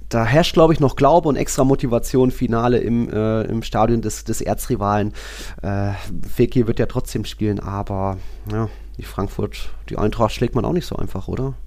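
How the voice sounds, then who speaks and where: A man's voice: fairly low pitch, steady tone, normal speed, darkish tone. A man co-hosting a football podcast in casual chat, with loose, colloquial, fragmentary phrasing. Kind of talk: casual two-person sports podcast chat.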